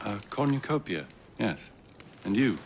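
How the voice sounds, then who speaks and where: An actor playing an urbane, wry army officer in a video game cutscene, speaking with formal eloquence and dry wit. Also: male voice, on the low side, sing-song, unhurried, slight background noise. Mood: amused, urbane.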